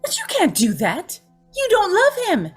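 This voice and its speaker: High, tormented young woman, played by an actress. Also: wildly swooping pitch, measured pace, soft background music, exaggerated voice. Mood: betrayed. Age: young adult. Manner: tearful, breathlessly emotional, melodramatic. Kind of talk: old-time radio drama acting.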